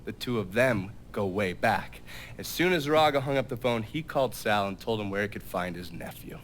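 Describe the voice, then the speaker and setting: Dry, weary handler. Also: male voice, fairly low pitch, expressive, brisk, a bit bright, slight background noise. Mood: briefing. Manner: dry, sighing, matter-of-fact briefing. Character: weary middle-aged male intelligence handler.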